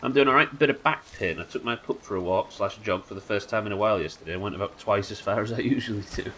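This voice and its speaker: Male game streamer, nasal and a bit hoarse, wry muttering chat, lowish voice with a sharp edge. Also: fast talker, a little hiss or hum. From United Kingdom. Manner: chatty, wry, swears casually, muttering asides. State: sick, slightly hoarse.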